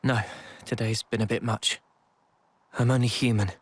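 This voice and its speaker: A man playing a gentle, weary, reflective young soldier in a game drama, subdued and measured. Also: mid-range voice, steady tone, breathy edge, no echo, lightly acted. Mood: weary, sad. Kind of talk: dramatic game cutscene acting.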